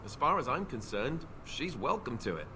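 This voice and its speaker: Reluctant young royal warrior, self-deprecating and sarcastic, very animated pitch, noisy game backdrop. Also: male voice, moderate pace. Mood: wry, uneasy. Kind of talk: scripted video game acting.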